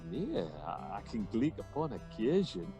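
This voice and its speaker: Actor doing a cocky donkey-headed village fool, mellow, swoops all over, radio play comedy. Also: mid-range voice, big pitch swings, moderate pace, faint music behind, natural voice. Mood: bemused, cocky. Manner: blustering, chuckling, wildly swooping delivery. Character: cocky, foolish rustic man with a donkey's head. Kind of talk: comic Shakespearean radio play acting.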